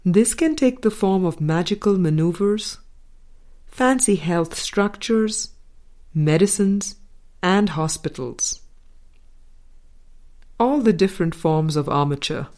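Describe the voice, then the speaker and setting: Woman audiobook reader, spiritual text, unhurried and very slow, slightly low voice, deliberate pauses. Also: animated, a little mellow. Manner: measured, deliberate, with pauses between short phrases. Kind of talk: scripted reading of a spiritual dialogue.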